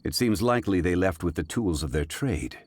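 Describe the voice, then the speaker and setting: An actor playing a composed, scholarly gentleman investigator in a video game, speaking in a formal, measured, analytical way. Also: sits low, expressive, a little hiss or hum. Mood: calm, analytical. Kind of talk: video game character dialogue.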